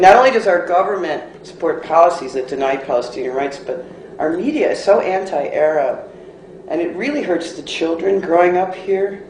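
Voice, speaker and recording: A speaker talking thoughtfully in a documentary interview, slowing down for emphasis. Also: mid-range voice, a little mellow, slight background noise. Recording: echoey room with faint ambience.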